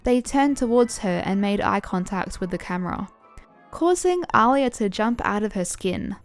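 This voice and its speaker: Woman narrating fiction over soft music. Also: mid-range voice, animated, normal speed. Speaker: narrator and host. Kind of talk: expressive fan fiction narration. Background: background music.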